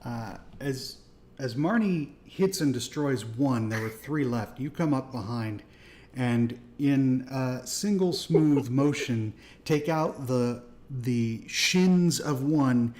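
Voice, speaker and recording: A man running a tabletop game as game master, narrating the action in a relaxed way and laughing now and then. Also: mid-range voice, slow pace, a little hiss or hum. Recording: live stream voice chat.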